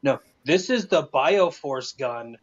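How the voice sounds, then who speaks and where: A man's voice: medium pitch, expressive, slow, highs cut off. A man giving his opinions in casual, informal talk as a panelist. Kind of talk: casual movie opinion chat.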